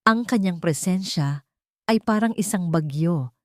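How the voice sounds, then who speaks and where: A woman's voice: fairly low pitch, lively intonation, unhurried, a little mellow, dry recording, clean studio sound. A woman reading a scripted romantic drama as a storyteller, in a dramatic narrating style.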